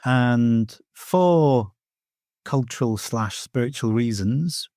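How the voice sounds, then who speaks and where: A middle-aged man from Wales speaks with a Welsh accent, his first language being Welsh. He explains things earnestly and thoughtfully, scattering "you know" through his answers. His voice sits at an ordinary pitch and is recorded dry and close. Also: lively intonation, slow pace, dark tone, dry recording. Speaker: guest teacher of Welsh myth. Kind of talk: reflective podcast interview answers.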